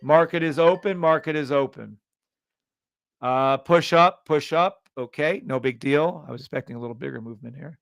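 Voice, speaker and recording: A middle-aged man hosting a live trading stream, calling out orders in quick bursts with brash, profane commentary. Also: fairly high pitch, gravelly, dry recording.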